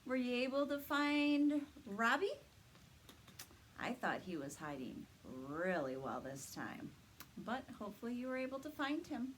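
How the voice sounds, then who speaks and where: A woman's voice: a little high, big pitch swings, measured pace, a little hiss or hum. A woman leading a read-aloud story time for young children, sing-song and encouraging, explaining things simply.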